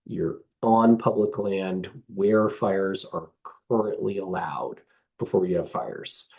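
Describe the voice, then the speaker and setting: A hoarse man giving a webinar talk as staff of a trail association, earnest, with frequent ums and uhs. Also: low voice, fairly even intonation, slow pace, darkish tone, poor audio. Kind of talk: webinar presentation. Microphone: video call.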